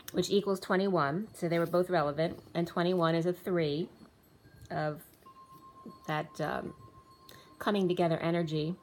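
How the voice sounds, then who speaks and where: A woman giving a casual tarot reading, rambling with restarts and going a little hoarse as she loses her voice. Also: on the low side. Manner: rambling, many restarts and fillers, reads off card names and times. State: losing her voice. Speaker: tarot reader. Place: indoors, room with a table of spread cards.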